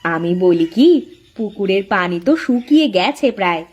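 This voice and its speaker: A woman's voice: medium pitch, lively intonation, normal speed, natural voice. A woman voicing a protective, hardworking elder co-wife in a village cartoon, speaking in a firm, motherly, advising way. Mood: caring, practical. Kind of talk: cartoon voice acting.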